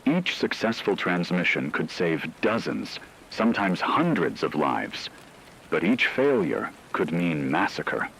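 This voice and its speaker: A man reads dramatic scripted narration in a solemn, measured way. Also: low-pitched, very animated, fairly bright tone, a little hiss or hum, low-quality recording.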